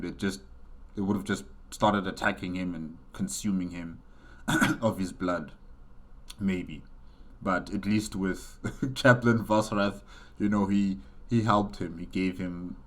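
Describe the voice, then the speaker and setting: A man giving casual reaction commentary as host, musing and thinking aloud. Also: low voice.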